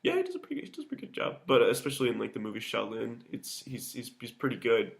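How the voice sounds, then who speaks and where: A man's voice: medium pitch, huge pitch swings, fast talker, vocal fry. A young man co-hosting a podcast, chatting casually and rambling, often restarting sentences and laughing. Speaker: podcast co-host. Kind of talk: casual chat.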